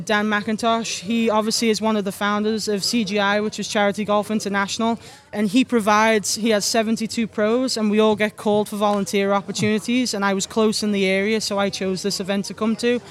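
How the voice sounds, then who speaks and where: Chatty young Scouse woman, flat tune. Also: mid-range voice, a little fast. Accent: Scouse with Irish mix. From Liverpool, England. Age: young adult. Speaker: guest golf pro. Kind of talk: casual interview answers.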